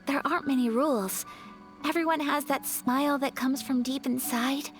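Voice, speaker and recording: Actress doing soft sweet refined young lady, game character, high voice, takes her time, music behind. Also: fairly even intonation, normal speed, lightly acted. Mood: warm, wistful. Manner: soft, poised, heartfelt delivery. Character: gentle, earnest, refined young noblewoman, softly affectionate. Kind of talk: video game voice acting, sincere and tender.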